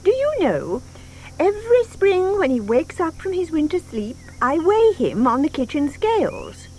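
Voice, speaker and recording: Gushing, high-voiced doting lady. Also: sing-song, darkish tone, full character voice, rough recording. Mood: wistful. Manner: gushing, cooing, drawn-out emphatic delivery. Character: doting, sentimental older widow cooing over her pet tortoise. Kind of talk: audio drama acting.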